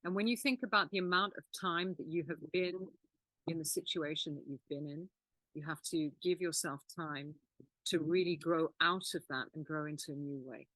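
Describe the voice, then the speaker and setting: A woman co-hosting a healing channel who explains things in a calm, reflective way. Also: deep voice, moderate pace, no echo, rough recording. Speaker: healing course teacher and co-host. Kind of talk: teaching conversation.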